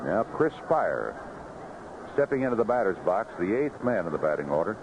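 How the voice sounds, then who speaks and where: Male American baseball play-by-play voice, lively inflection, measured clipped descriptions, vintage radio recording with clear background noise. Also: fairly low pitch, muffled recording. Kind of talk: live radio sports commentary. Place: outdoor ballpark broadcast booth. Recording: noisy vintage 1970s AM radio broadcast.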